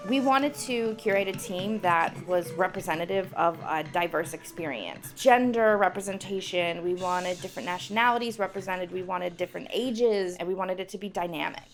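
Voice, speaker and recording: Gravelly low-voiced woman, confident. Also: normal speed, hardly any pauses. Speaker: music professor. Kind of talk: interview. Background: faint music.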